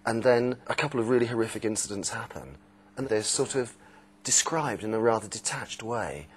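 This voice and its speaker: A man's voice: lowish voice, animated, normal speed, a little hiss or hum. A middle-aged man discussing a book on a panel in a thoughtful, analytical way.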